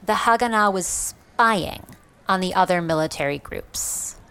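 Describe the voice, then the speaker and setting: A man's voice: high voice, measured pace, sharp tone, slight background noise, pitched above the performer's own voice, full character voice. A man voicing a young woman reacting to a story with shaky, earnest questions. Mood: shaken. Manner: tremulous, earnest. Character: young woman, shocked, sympathetic listener.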